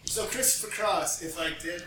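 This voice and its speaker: A man's voice: high-pitched, normal speed. A man co-hosting a podcast in casual, rambling, jokey chat, picked up from several feet away in an echoey, noisy room. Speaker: podcast co-host. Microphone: picked up by co-host's mic about five feet away. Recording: distant, very echoey, noisy off-mic pickup.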